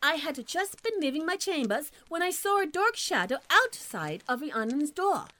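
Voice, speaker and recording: Woman acting a very high-voiced, timid young lady. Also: very animated, normal speed, bright, forceful tone, heavily acted. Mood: timid, anxious. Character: timid, polite, anxious young lady of the court. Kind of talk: audio drama acting.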